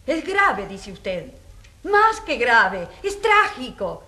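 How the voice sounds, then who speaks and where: A woman's voice: fairly high pitch, very animated, drawn-out words, pushed and bright, exaggerated voice. An actress playing an earnest, impassioned woman prosecutor addressing a jury in a radio drama, with emphatic rhetorical delivery. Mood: indignant, grave. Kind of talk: radio drama courtroom speech.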